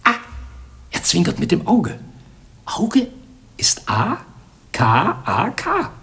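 A scheming clownish servant in a radio comedy. He is furtive and self-important, slow and creaky, leaping between hushed tones and exaggerated exclamations. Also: high-pitched, huge pitch swings, in a whisper, exaggerated voice. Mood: sly puzzling. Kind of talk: comic radio play acting.

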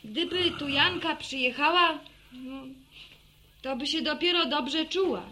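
A lively young country daughter in a radio drama, high and bright. She is sure of herself and explains things emphatically, over clear background noise. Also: unhurried. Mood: eager reading. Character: lively, cocksure young village daughter reading letters aloud. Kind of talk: Polish radio serial acting, rural family drama.

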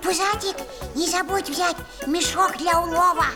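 A woman voicing a mischievous, greedy little house-spirit child in a children's audio play, in a squeaky, exaggerated childlike way. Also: moderate pace, over music. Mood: eager, bossy.

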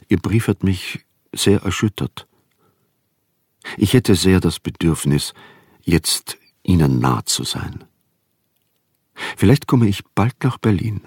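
A refined, earnest man of letters with a low, husky voice. He reads a solicitous letter haltingly, dry and close, in a radio drama. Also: dry recording, exaggerated voice. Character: solicitous, earnest, refined male literary friend.

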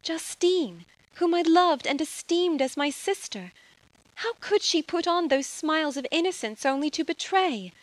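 A woman voicing a gentle young noblewoman, earnest and emotional, in an audio drama. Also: high-pitched, lively intonation, a little slow, no room sound. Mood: dismayed. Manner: earnest, emotional, tender pleading.

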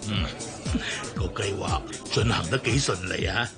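A man's voice: lowish voice, measured pace. An actor playing a smug, scheming businessman who plots a company takeover, speaking Hong Kong Cantonese and chuckling between lines. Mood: gloating. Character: scheming middle-aged businessman plotting a takeover. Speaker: villain in radio drama. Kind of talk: radio drama acting. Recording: radio drama with music underneath.

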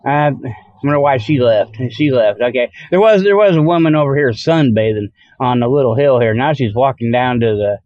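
A man's voice: medium pitch, moderate pace, no echo, dull audio. A man hosting a podcast in a rambling, tongue-in-cheek monologue, with folksy, colloquial phrasing full of "okay" and "you know". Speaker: podcast host.

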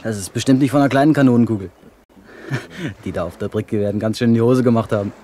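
Man as fast-talking, eager young lad. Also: mid-range voice, a little hiss or hum, natural voice. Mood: boastful, excited. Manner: boyish, excitable, eager. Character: eager, bloodthirsty Irish lad dreaming of piracy. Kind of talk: 1970s German radio play acting.

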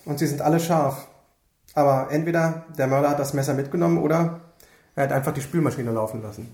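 A man playing a dry, matter-of-fact crime scene technician in a German radio crime drama, reporting in a deadpan way. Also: on the high side, quick pace, lightly acted. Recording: echoey room.

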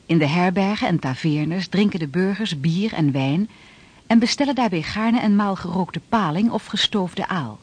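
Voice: mid-range voice, expressive, normal speed, husky. A narrator giving matter-of-fact scripted historical narration within a radio play. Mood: informative.

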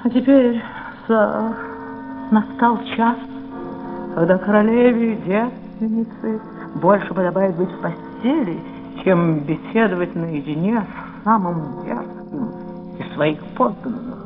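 A woman's voice: medium pitch, slow pace, over music, natural voice. An actress playing an imperious, witty Elizabethan queen in a radio play, with a stately, wry, declamatory delivery. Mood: regal, commanding. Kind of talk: theatrical radio play acting. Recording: dull vintage radio drama recording.

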